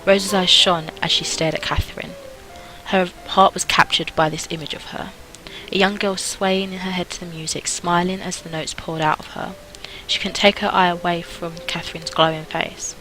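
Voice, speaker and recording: A woman's voice: fairly low pitch, level tone, normal speed, pushed and bright, soft background music, low-quality recording. A young adult woman reading a visual novel aloud, with casual asides as host of a gaming video.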